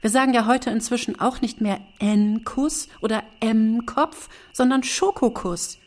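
A woman's voice: on the high side, a little slow, close to an everyday voice. A woman acting a middle-aged wife and mother in a radio play, earnest, pedantic and easily put on the defensive. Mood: lecturing, indignant.

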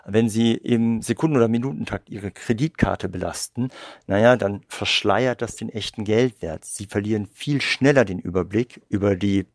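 A male gambling researcher giving measured, explanatory answers in an interview, with occasional fillers. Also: fairly low pitch, no room sound, studio quality.

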